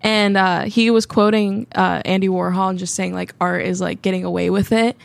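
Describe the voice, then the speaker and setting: A woman's voice: mid-range voice, flat delivery, normal speed, gravelly, no room sound. A woman hosting a podcast and chatting casually, rambling and peppering her talk with "like". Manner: rambling, heavy use of "like". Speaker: podcast co-host and stand-up comedian.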